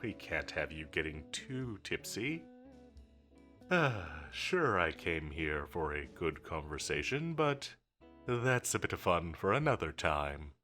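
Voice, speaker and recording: A man's voice: low voice, sing-song, slow, bright, forceful tone, faint music behind, exaggerated voice. A man playing a confident, teasing villain commander in a roleplay audio drama, drawling and flirtatious with a bossy edge. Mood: playful, flirtatious. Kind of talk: scripted roleplay audio drama addressed to the listener.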